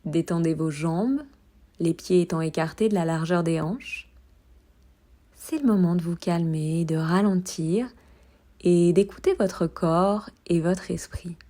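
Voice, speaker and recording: A woman guiding a body scan meditation in a soft, at times breathy voice, with calm, soothing instructions and long pauses. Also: lowish voice. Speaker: yoga meditation guide.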